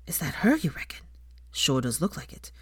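A woman voicing scandalized, judgmental churchgoing busybodies, gossiping in a hushed, disapproving way. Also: sits low, sing-song, moderate pace, husky, dry recording, whispered, studio quality, full character voice.

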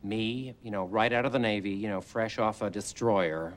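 A man's voice: on the low side, normal speed, lightly acted. A man playing a boastful small-town theater director. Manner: chatty, boastful rambling. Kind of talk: comedy film acting.

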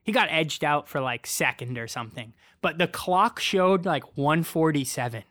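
A man's voice: on the high side, moderate pace, dry recording, studio quality. A young man chatting casually and animatedly, joking at his own expense and filling his talk with "like". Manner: animated, self-mocking, lots of "like". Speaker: co-host, runner. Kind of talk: casual chat.

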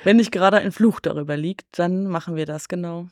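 A woman's voice: fairly low pitch, a little fast, a little mellow, no echo, clean studio sound. A woman chatting casually as a guest on a podcast. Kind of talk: casual chat.

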